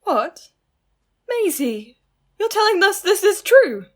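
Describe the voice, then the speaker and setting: A woman's voice: very high-pitched, very animated, takes it slowly, full character voice. A woman playing a loyal, easily shocked village lady in a melodramatic audio drama.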